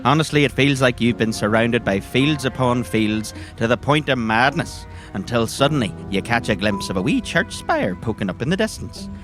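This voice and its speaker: An Irish man narrates a role-playing story as game master. His delivery is wry and storylike, in a mid-pitched voice. Music plays clearly behind him. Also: lively intonation, moderate pace, hardly any pauses.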